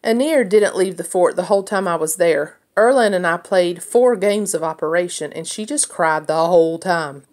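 A woman reading a children's book aloud in an expressive storytelling style. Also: fairly low pitch, normal speed, few pauses. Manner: acts out dialogue as a child narrator's story. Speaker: read-aloud reader.

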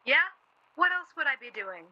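An actress playing a sharp-tongued, worried young wife in a 1940s radio play, snippy and sardonic. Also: female voice, sits high, sing-song, moderate pace, muffled recording. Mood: wry.

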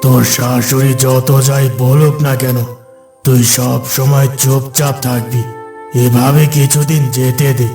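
A man voicing a calm, fatherly wandering tantric holy man in a cartoon, counselling in a solemn manner. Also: mid-range voice, reverberant, lightly acted. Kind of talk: cartoon voice acting.

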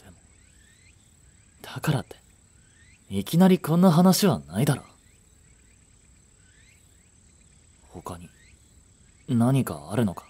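A man performs a frustrated young boyfriend in a romantic voice drama. He has a soft-edged dark timbre with some huskiness, and his blunt, casual lines swing widely in pitch. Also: big pitch swings, measured pace, long pauses, slightly rough. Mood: subdued, hurt. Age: young adult. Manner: blunt casual masculine speech. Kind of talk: romantic audio drama acting.

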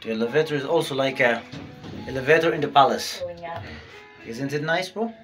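A man's voice: medium pitch, fairly quick, a bit bright, long reverb tail, faint music behind. A man in his twenties with an Afghan accent, narrating a casual vlog as a tour guide in short, repetitive phrases. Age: 20s. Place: indoors in hotel halls, rooms and dining room. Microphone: phone at arm's length.

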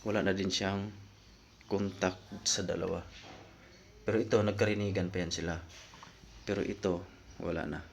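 A man's voice: low-pitched, level tone, normal speed, gravelly, long pauses, a little hiss or hum. A man talking casually as a vlogger, explaining things step by step and addressing his viewers informally. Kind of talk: casual explainer vlog talk.

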